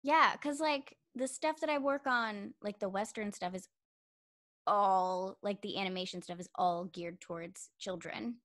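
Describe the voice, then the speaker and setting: A woman's voice: unhurried, fairly bright tone. A young woman interviewing a guest over a video call, chatty and full of fillers, with a youthful-sounding voice. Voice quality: says she sounds young with a high voice.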